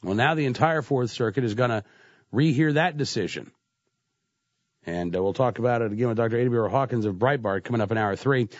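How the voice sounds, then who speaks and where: A man's voice: medium pitch, fairly quick, no echo. A man hosting talk radio while congested from a cold, slightly nasal. He talks conversationally with lots of "uh" fillers. The sound is dry and a little muffled. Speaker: radio talk show host. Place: radio studio. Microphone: studio microphone.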